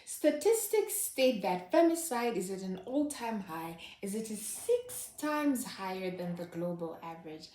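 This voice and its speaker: A woman delivers scripted satirical commentary in a sardonic, knowing tone. Her voice sits at an ordinary middle pitch, and the recording carries a noticeable roomy echo. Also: big pitch swings, measured pace, few pauses, mellow. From Zimbabwe. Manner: sardonic, wry. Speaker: host. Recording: echoey room.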